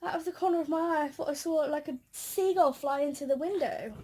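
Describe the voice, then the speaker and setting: A woman vlogger chatting casually and retelling an outing in a giggly, animated manner. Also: high voice, quick pace. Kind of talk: casual chat, recounting an outing.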